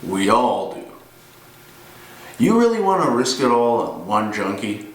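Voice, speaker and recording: Man acting a grim older ex-cop, slow and roomy. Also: medium pitch, very animated. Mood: firm, dismissive. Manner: deliberate storytelling with pauses. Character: gruff weary older male ex-cop fixer, grimly menacing. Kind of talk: dramatic monologue performance. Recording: roomy, distant mic with noise.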